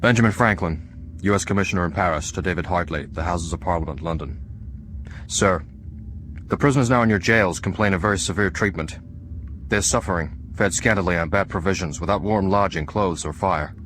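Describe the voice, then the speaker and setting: An actor playing a dignified, stern American statesman in a radio drama, reading out formal letters in a grave, measured manner. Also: low voice, a bit bright, slight background noise, rough recording.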